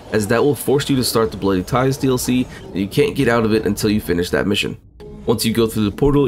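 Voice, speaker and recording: A man's voice: on the low side, slight background noise. A man narrating a scripted game walkthrough in a matter-of-fact, instructional way.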